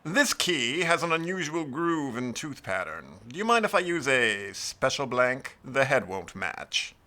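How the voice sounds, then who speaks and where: An actor playing a courteous, deferential locksmith in a 1940s-set noir radio play, speaking formally to customers. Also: male voice, medium pitch, big pitch swings, a little slow, bright, forceful tone. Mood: polite, deferential. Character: polite, deferential locksmith shopkeeper.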